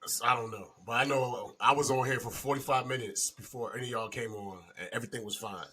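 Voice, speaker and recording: Fast-talking man in casual chat. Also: mid-range voice, sharp tone.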